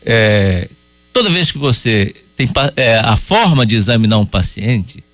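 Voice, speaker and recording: A man answering listeners' medical questions on a radio call-in show, explaining calmly and at a measured pace. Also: mid-range voice, dry recording. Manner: calm, explanatory, measured with hesitations. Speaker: urologist guest. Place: radio studio.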